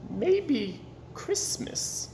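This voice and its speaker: Storybook creature villain, man acting: slick schemer, drawn-out theatrical lines, slow and swooping. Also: extremely high pitch, sing-song, vocal fry, dark tone, echoey room, slight background noise, pitched above the performer's own voice, exaggerated voice. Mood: softly wondering. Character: sly, mean Grinch creature, gleefully scheming, faking sweetness.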